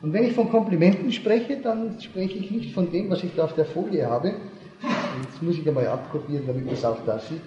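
A man's voice: fairly high pitch, brisk, background noise. A man giving a seminar lecture, explaining step by step, repeating key points and checking whether the audience understands. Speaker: seminar speaker. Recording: echoey hall, dull lo-fi sound.

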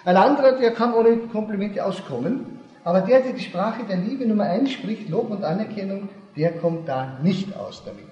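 High-voiced man lecturing in a hall. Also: animated, moderate pace, warm tone. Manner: didactic, repeats key points and checks understanding. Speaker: seminar speaker. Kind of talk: seminar lecture. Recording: echoey hall, dull lo-fi sound.

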